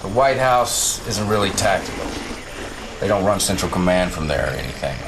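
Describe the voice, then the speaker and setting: An actor playing a brash, bloodthirsty military officer briefing the player in a game, with a blunt, swaggering, colloquial delivery. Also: male voice, low-pitched, expressive, moderate pace, creaky, background noise. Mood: eager, cocky. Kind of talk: video game briefing acting.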